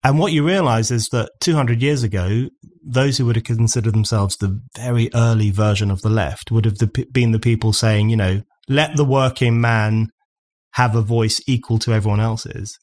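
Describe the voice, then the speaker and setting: A man in his forties hosts a podcast from a studio mic. He builds long, earnest arguments and restarts phrases as he goes. His pitch stays in a middling range. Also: normal speed, darkish tone. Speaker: podcast host. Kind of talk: conversational podcast discussion. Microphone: studio condenser mic on stand.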